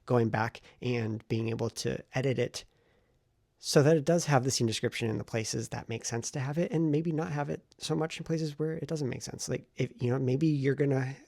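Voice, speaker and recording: A man with a gravelly, husky voice talks casually, as if to a vlog camera. He sounds reflective and thinks aloud as he goes, and the recording is dry and close. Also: mid-range voice, moderate pace, a little mellow, no room sound. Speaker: vlogger and novelist.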